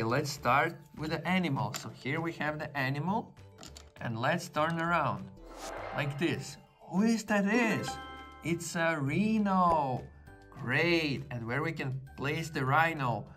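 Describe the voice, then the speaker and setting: A man, slowly and patiently talking toddlers through a puzzle, praising each step with "great" and "well done.". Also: on the high side, a bit bright. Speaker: children's video presenter. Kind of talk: slow, encouraging narration to toddlers while doing a puzzle.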